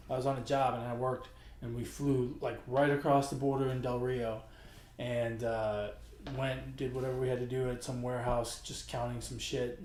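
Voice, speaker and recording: A man's voice: mid-range voice, a little mellow. A man hosting a podcast, easygoing and wisecracking as he asks his guest questions. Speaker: podcast host. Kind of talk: casual podcast chat. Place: podcast studio. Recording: roomy studio echo.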